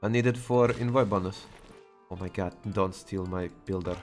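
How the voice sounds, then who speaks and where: A man's voice: low-pitched, faint music behind. Young man streaming a strategy game, headset mic. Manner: joking, exclaiming, drawn-out "dude". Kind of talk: casual gaming banter.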